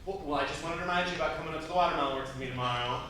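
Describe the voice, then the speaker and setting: A man's voice: on the high side, racing, pushed and bright. A man acting a genial, slightly awkward friend in a stage play. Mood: genial, hesitant. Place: theatre stage, recorded from far off. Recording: echoey distant stage recording, very noisy.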